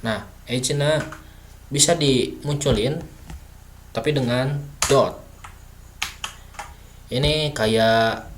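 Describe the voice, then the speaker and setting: A man talks through a beginner coding lesson at his desk, slow and unhurried. He stops often to think aloud, using fillers and correcting himself, and a faint hiss sits under his voice. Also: medium pitch. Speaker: self-taught coding tutor. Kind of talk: casual screen-recorded coding lesson.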